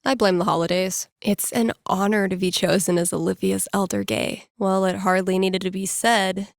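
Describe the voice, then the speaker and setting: A woman's voice: mid-range voice, level tone, normal speed, vocal fry, no room sound, clean studio sound, lightly acted. An actress playing a wry, slangy young woman who DJs and runs tabletop games, in a video game. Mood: wry, casual. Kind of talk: video game character inner monologue.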